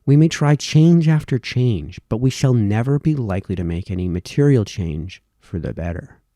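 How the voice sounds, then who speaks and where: Low, expressive male reader. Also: moderate pace, no room sound, studio quality. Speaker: reader of historical essays. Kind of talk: reading formal prose aloud.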